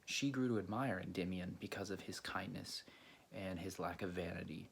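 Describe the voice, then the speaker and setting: A man from Greece hosting a video, telling a story casually and haltingly, with frequent pauses mid-sentence. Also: low-pitched, fairly even intonation, creaky, a little hiss or hum. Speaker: video host. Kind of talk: casual storytelling to camera or viewers.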